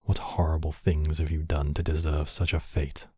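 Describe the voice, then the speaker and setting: A man's voice: very deep voice, little pitch movement, normal speed, mellow, husky, dry recording, studio quality, full character voice. A man playing a commanding yet compassionate demon king, speaking in formal, regal phrases in an intimate ASMR roleplay. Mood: cool, probing.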